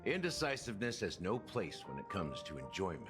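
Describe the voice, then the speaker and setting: A man's voice: low-pitched, sing-song, a little fast. A man playing a suave, self-assured bartender in a fantasy video game, instructive and theatrical. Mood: confident, dramatic. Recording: music clearly behind voice.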